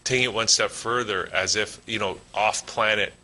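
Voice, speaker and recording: A man's voice: fairly low pitch, normal speed, sharp tone. A man interviewing a guest in a casual chat, asking curious, rambling questions with fillers.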